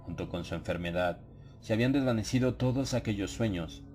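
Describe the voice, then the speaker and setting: A man with a low voice narrates a horror audiobook. His storytelling is measured and solemn. Ambient sound effects and some background noise sit under the voice. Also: a little mellow.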